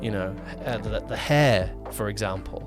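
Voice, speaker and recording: A man's voice: lowish voice, moderate pace, music in the background. A man co-hosting a podcast in casual conversation, talking in a rambling way and often correcting himself mid-sentence. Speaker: podcast co-host and producer. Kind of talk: casual chat about a production.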